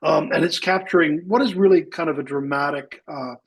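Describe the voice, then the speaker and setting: Man, radio host, slightly high, husky, halting with fillers. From Wales. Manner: hesitant, many fillers and restarts. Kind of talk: live radio science chat.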